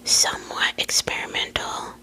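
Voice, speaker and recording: A woman's voice: normal speed, pushed and bright, poor audio. A young woman whispering close to the mic, rambling, swearing freely, full of fillers. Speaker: vlogger. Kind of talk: whispered casual chat.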